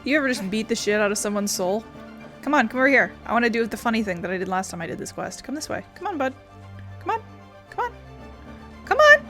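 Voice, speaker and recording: A woman's voice: a little high, sing-song, quick pace, long pauses, a bit bright, faint music behind. A woman doing casual let's play commentary, playful and irreverent. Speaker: gaming video host.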